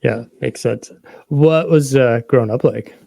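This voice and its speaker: A man's voice: medium pitch, measured pace, creaky, warm tone, dry recording. Man, podcast interviewer, mid-range voice, casual conversational style, dry recording. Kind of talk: casual chat.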